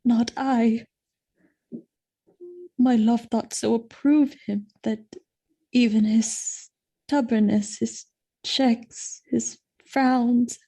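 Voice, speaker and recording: A woman playing a loyal, innocent, distressed noble wife in a Shakespearean audio drama, speaking in an earnest, pleading, measured way. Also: a little high, warm tone, husky, exaggerated voice, rough recording.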